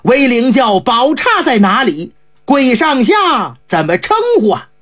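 A woman's voice: on the high side, big pitch swings, unhurried, a bit bright, heavily acted. A woman voicing a polite, anxious wealthy old man in his fifties in a storytelling performance, speaking humbly and courteously. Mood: reverent. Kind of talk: traditional storytelling.

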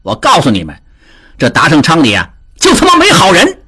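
A man voicing a hot-tempered middle-aged man who shouts and stammers in anger. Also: medium pitch, wildly swooping pitch, normal speed, husky, heavily acted. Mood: angry. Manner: shouting, flustered, swearing.

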